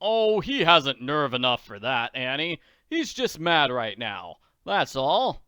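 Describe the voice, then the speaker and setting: Hearty booming tycoon as a man reads him, teasing, bright crisp tone, dry sound. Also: big pitch swings, takes it slowly, no room sound, heavily acted. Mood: reassuring. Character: bluff wealthy middle-aged male tycoon.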